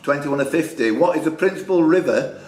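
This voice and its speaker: A man's voice: on the high side, lively intonation, fairly quick, a little mellow. A man hosting a quiz in a club room, reading out questions and bantering in a jokey, teasing way. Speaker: quiz host. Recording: echoey room recording with background noise.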